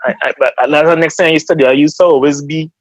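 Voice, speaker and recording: A man's voice: fairly high pitch, fairly even intonation, quick pace, no echo, muffled recording. A man with a Trinidadian accent speaking earnestly and casually in an interview, often repeating words as he gathers his thoughts. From Trinidad and Tobago. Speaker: guest.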